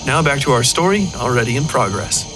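A man narrating scripted dark fiction as a podcast host, with music behind him. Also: medium pitch, very animated, a little fast, poor audio.

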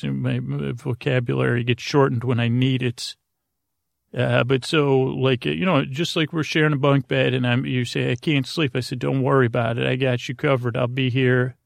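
A middle-aged man hosting a bedtime sleep podcast, rambling and halting, self-deprecating and trailing off mid-sentence. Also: medium pitch, gravelly, dry recording, clean studio sound. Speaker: podcast host.

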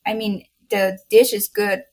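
A woman's voice: medium pitch, level tone, a little slow, darkish tone. A woman with a Thai accent, whose first language is Thai, answers interview questions. She talks in simple, earnest sentences. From Thailand. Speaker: food truck co-owner.

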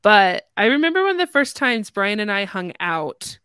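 A woman's voice: medium pitch, sing-song, normal speed, sharp tone, smooth, no echo, studio quality. A woman hosting a casual podcast, chatting informally with the other speakers. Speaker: podcast host.

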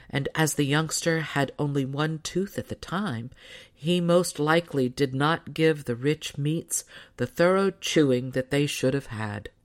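A woman narrating a storybook as a scripted audiobook reading. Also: deep voice.

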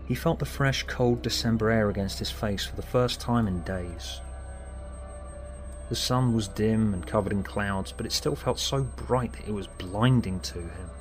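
A man's voice: on the low side, unhurried, faint music behind. A man reading scripted story narration as an audiobook narrator. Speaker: author and narrator.

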